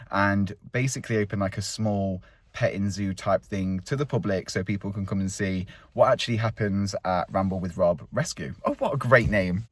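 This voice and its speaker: A man's voice: on the low side, no echo. A man in his thirties rambling openly and chattily as a vlog host while out on a countryside walk. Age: 30s. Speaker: vlogger host. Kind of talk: casual walk-and-talk vlog.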